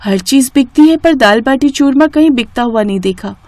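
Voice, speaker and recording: A woman's voice: high-pitched, lively intonation, normal speed, darkish tone, dry recording, pitched above the performer's own voice. A woman voicing an eager young daughter in a cartoon story, earnest and persuasive with her mother.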